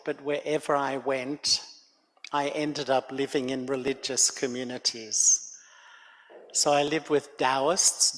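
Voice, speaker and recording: An older man, giving a gentle, reflective spiritual talk to a gathering at a meditation center. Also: medium pitch. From Australia. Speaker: guest speaker. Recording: long hall reverb.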